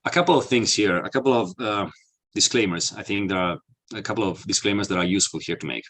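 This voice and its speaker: Italian man presenting, lowish voice. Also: fast, a bit bright. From Italy. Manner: earnest, explanatory, frequent fillers and restarts. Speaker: webinar presenter, mobility consultant. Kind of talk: presenting a webinar talk.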